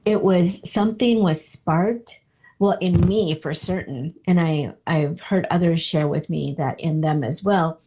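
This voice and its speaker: A woman giving a reflective interview, speaking earnestly and deliberately, often repeating her phrasing for weight. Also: lowish voice, warm tone, husky, poor audio. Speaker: poetry group co-founder being interviewed.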